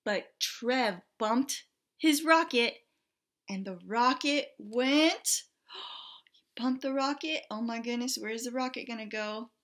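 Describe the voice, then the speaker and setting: A woman in her thirties, a teacher reading a picture book aloud expressively, pausing to exclaim and put questions to children. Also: a little high, a bit bright. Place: small indoor room with bulletin board.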